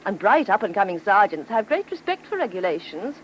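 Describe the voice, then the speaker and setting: Actress as arch, playful British wife, animated. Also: female voice, mid-range voice, a little fast, lightly acted. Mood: arch, teasing. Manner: arch, coquettish, mock-sweet. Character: playful, needling, affectionate newlywed wife. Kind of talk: mid-20th-century British radio play acting. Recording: noisy vintage radio drama recording.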